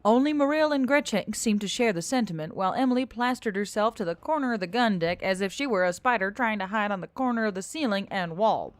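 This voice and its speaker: A woman reading scripted fiction as an expressive audiobook narrator. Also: mid-range voice, fast talker, hardly any pauses, dry recording. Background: music.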